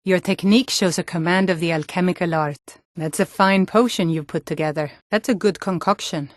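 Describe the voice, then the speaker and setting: An actress playing a hardy Nordic woman of a fantasy realm in a video game, speaking in a firm, earnest, deliberate way. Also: on the low side, no room sound, clean studio sound, natural voice. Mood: measured, approving. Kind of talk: scripted fantasy video game character dialogue.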